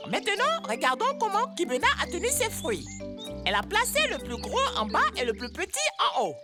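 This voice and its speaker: Animated, high-pitched motherly cartoon teacher. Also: brisk, heavily acted. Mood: explaining. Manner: emphatic, didactic. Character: bossy motherly female bird teacher, brisk and scolding. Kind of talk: dubbed children's educational cartoon. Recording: music under the dialogue.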